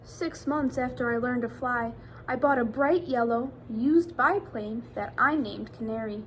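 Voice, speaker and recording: Higher-pitched woman reading to children, noisy recording. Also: measured pace. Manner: addresses young children directly with questions. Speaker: teacher reading a picture book aloud to children. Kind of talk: expressive children's read-aloud.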